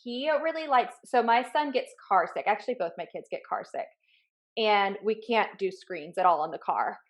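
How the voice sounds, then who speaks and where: A woman's voice: mid-range voice, animated, moderate pace. A woman in her thirties with an American accent, chatting casually and agreeably as co-host of a parenting podcast. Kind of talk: casual chat.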